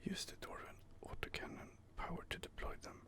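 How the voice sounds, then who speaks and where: A man whispering casual gaming ASMR commentary while he reads out game options and muses over them. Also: fairly quick. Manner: soft whispering, casual and musing.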